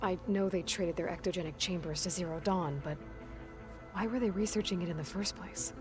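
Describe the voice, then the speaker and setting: A determined young woman adventurer in a video game, played by an actress, speaks in a fairly low register. She is earnest and matter-of-fact as she probes for answers, with music clearly behind her. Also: a little fast, close to an everyday voice. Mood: reflective. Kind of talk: video game cutscene dialogue.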